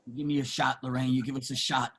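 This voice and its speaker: An actor in a dramatic table reading, playing an earnest, lovestruck down-and-out man who speaks haltingly in colloquial grammar. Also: mid-range voice, little pitch movement, fairly bright tone, breathy edge. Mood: earnest pleading. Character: lovestruck, pleading down-and-out man, plain-spoken war veteran.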